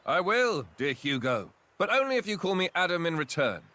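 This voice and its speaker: An actor playing a gentle, polite and refined young prince in a game cutscene, with music behind him. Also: male voice, high voice, sing-song, normal speed, no room sound, exaggerated voice. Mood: friendly, teasing. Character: gentle, courteous young prince, thoughtful and friendly. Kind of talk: video game cutscene acting.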